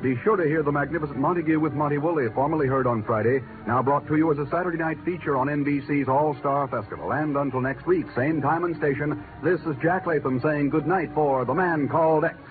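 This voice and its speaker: A man's voice: a little high, a little fast, hardly any pauses. A man announcing in a formal, scripted 1950s radio style. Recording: muffled old radio broadcast with music. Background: music.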